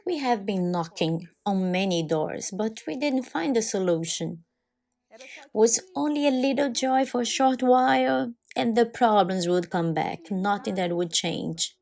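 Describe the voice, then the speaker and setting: A woman voicing over a personal testimony in non-native English, speaking in short, deliberate phrases. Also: medium pitch, animated, vocal fry, a little mellow. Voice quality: non-native English with halting, irregular grammar. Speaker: voice-over translator of a church testimony. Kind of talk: dubbed first-person testimony narration.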